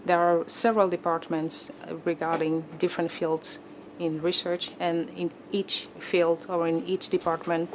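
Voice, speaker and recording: A young woman from Cameroon, a PhD student, speaking in a deliberate, formal way in an interview. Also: on the low side, flat delivery, slight background noise, rough recording. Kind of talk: interview testimonial.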